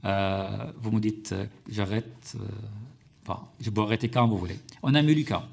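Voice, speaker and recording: Man, low gravelly voice, halting committee testimony. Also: a little mellow. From Syria. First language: Arabic. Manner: hesitant, many fillers and restarts. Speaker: witness at a parliamentary hearing. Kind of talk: formal testimony. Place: parliamentary committee room.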